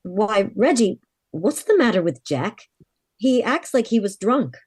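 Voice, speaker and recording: A woman acts out a desperate, determined young heroine of an old radio serial. Her mid-pitched voice is insistent and melodramatic, with wide swings of intonation in a dry recording. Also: sing-song, measured pace, a little mellow, slightly rough, no echo. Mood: tense. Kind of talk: 1940s radio drama acting.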